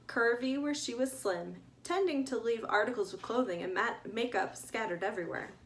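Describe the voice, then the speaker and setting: A woman author reading her adventure story aloud as an expressive narrator. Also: medium pitch.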